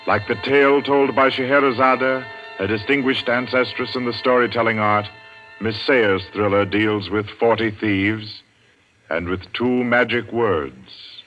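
A man's voice: lowish voice, steady tone, a little slow. A man announcing and narrating a 1940s radio drama in a formal, theatrical way. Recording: dull lo-fi old radio recording with music.

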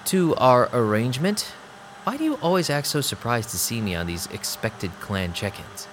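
An actor playing a young vampire DJ who narrates an audio drama in a casual, streetwise and sarcastic way. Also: male voice, medium pitch, sing-song, moderate pace, a bit bright, a little hiss or hum. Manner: casual, wry. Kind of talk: first-person audio drama narration and dialogue. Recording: music behind the voice.

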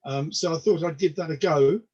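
A man in his sixties whose first language was Polish, a comedian chatting as a guest in a hesitant way, repeating words and filling pauses. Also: on the high side, darkish tone. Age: 60s. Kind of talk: casual interview talk. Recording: dull lo-fi sound.

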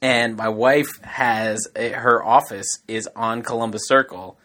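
Bright-voiced man bantering defensively. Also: mid-range voice, fairly even intonation, measured pace. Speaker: podcast co-host. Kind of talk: casual comedic chat.

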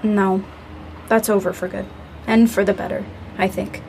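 A woman's voice: medium pitch, flat delivery, normal speed, creaky, a little mellow, a little hiss or hum, close to an everyday voice, rough recording. A woman playing a grieving young woman in an audio drama, apologetic and wryly hopeful, speaking casually and sincerely. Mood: reflective, sincere. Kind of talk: naturalistic audio drama acting.

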